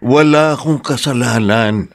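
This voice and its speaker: A man's voice: mid-range voice, a little slow, lightly acted. A man playing a defensive, hard-drinking laborer father in a Filipino radio drama. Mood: defensive, agitated.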